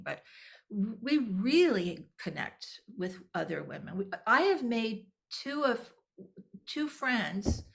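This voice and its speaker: A woman in her sixties is a guest on a conversational podcast. Her voice has a gravelly, creaky texture at a middle pitch. She speaks candidly and reflectively, restarting sentences as she thinks and laughing lightly now and then. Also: a bit bright. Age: 60s. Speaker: guest, nonprofit executive director.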